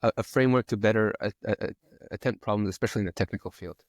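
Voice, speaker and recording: A man hosting a podcast, asking questions in a halting way, often restarting and repeating words. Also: lowish voice, dry recording, clean studio sound. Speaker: podcast host. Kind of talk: casual podcast interview.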